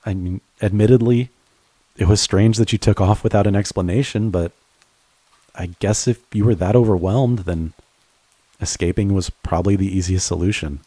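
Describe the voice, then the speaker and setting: A man playing a devoted friend turned lover in an intimate romantic audio roleplay, speaking earnestly and haltingly to the listener. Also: on the low side, mellow, dry recording, lightly acted. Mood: concerned, gentle. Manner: halting, earnest, with stammered repeats.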